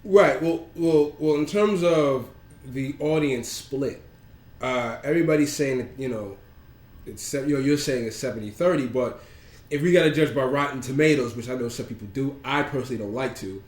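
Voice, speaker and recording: A man's voice: medium pitch, normal speed. Man with a cold, echoey room. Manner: rambling, full of ums and restarts. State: has a cold, a bit nasal. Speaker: podcast co-host. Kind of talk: casual chat.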